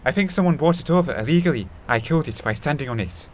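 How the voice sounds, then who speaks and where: A man's voice: a little high, expressive, fast, darkish tone, lightly acted. A man playing an earnest, suspicious young crusader with an accusing manner in an audio play.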